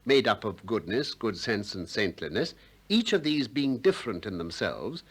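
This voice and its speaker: An actor playing a shrewd, probing Athenian philosopher in a 1960s radio drama, deliberate and courteous with polite irony. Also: male voice, lowish voice, sing-song, slightly rough, exaggerated voice. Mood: courteous, ironic. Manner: deliberate, politely ironic, questioning.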